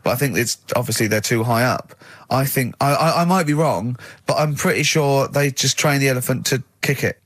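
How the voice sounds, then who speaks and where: A man's voice: mid-range voice, fairly quick, few pauses, fairly bright tone, husky, no echo. A man hosting a comedy radio show, improvising banter and slipping into mock dialogue. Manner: acts out mock dialogue mid-sentence. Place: radio studio.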